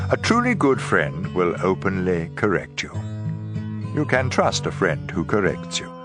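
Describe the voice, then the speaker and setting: Deep-voiced man reading scripture, slow and expressive. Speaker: audio Bible reader. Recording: guitar music bed. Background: plucked guitar music.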